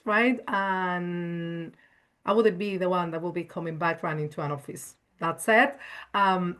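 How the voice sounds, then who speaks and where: A woman's voice: medium pitch, fairly bright tone. A woman from Spain with a Spanish accent, answering questions on an online panel in a chatty, enthusiastic way, often tagging on "right?". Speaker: cybersecurity analyst guest.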